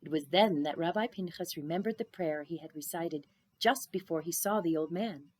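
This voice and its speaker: A woman reading a children's story aloud in an expressive narrating style. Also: on the low side, moderate pace, no room sound. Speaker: storybook reader.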